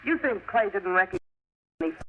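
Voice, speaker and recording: An actress playing a hard, scornful American crook's wife with a gun, slangy and sneering, in a 1950s radio drama. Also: female voice, medium pitch, lively intonation, fairly quick, lightly acted. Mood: scornful, threatening. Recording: muffled, noisy old radio recording.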